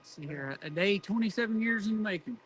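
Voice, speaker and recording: High-voiced laconic man, some noise. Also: animated, fairly quick, a bit bright. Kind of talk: casual chat.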